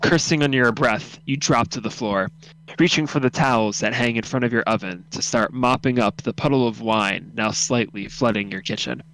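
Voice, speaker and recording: A man's voice: medium pitch, moderate pace, a bit bright, rough recording. A young man who hosts and narrates an amateur radio drama, moving between casual chat and scripted story reading.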